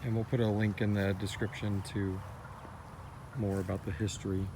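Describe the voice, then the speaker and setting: A man's voice: lowish voice, level tone, normal speed. An American man co-hosting a casual question-and-answer chat in an informal, rambling way. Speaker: co-host and writer of a horror fiction series. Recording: clear background noise and ambience.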